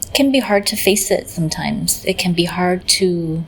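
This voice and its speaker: A woman leading a guided meditation in a gentle, unhurried manner, often pausing in the middle of phrases. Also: on the low side, expressive, a little hiss or hum, poor audio. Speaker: meditation teacher.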